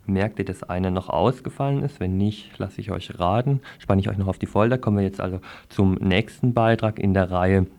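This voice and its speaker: Low-voiced man presenting radio news. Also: a little fast, hardly any pauses, a little mellow. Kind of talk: radio news magazine presenting, partly informal.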